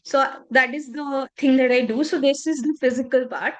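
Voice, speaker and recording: Female guest, ~30, native Punjabi speaker, candid reflective delivery, pitched a touch high. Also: steady tone, moderate pace. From northern India. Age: 30s. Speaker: podcast guest. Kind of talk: casual interview conversation.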